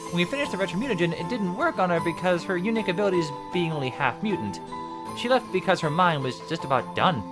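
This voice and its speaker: Casting: man as earnest young cartoon team leader, fast talker, lively intonation, loud score behind. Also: sits high, over music, low-quality recording. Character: earnest young heroic male ninja turtle leader.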